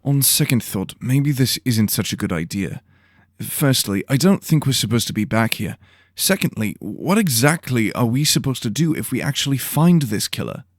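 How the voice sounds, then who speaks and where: A man's voice: medium pitch, very animated, normal speed, no echo, voice pushed up. A man voicing a cautious, polite pupil with a hesitant, sheepish manner in a fantasy audio drama. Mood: nervous. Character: nervous, cautious, polite young male pupil.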